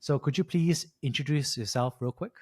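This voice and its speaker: A man's voice: mid-range voice, normal speed, darkish tone, slightly rough, dry recording, studio quality. A man hosting a podcast interview, asking upbeat, conversational questions. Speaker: podcast host. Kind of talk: podcast interview and scripted intro.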